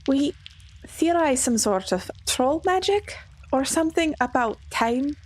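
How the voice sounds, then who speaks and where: A woman's voice: high-pitched, lively intonation, a little slow. A woman playing a tabletop game, tentatively piecing thoughts together in improvised roleplay. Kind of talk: in-character improvised roleplay talk.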